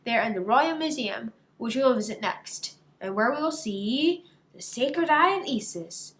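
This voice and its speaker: A woman reading a brisk, orderly female ibis schoolteacher in a storybook, instructing and directing as if to a class. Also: fairly high pitch, expressive, a bit bright, roomy sound. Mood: directing, announcing. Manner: clear, instructive classroom address.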